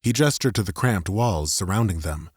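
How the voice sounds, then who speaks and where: Man, low pitch, audiobook narrator, scripted fiction storytelling, dry close studio recording. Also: expressive, normal speed, slightly rough, dry recording.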